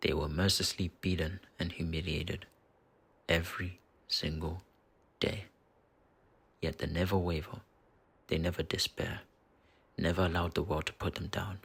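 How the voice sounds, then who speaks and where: A dark possessing spirit acted by a man speaks very low with a heavy, creaking rasp. The delivery is husky and theatrical, taunting and deliberate, with dramatic pauses. It is a menacing, bitterly obsessive villain from a fantasy audio drama. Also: level tone, heavily acted. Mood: sly storytelling. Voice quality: gravelly, husky.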